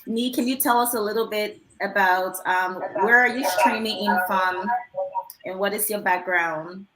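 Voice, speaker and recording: A woman's voice: mid-range voice, fairly even intonation, moderate pace, echoey room, heavy background noise. A woman moderating an online panel, asking guests polite questions over a video call, with frequent fillers.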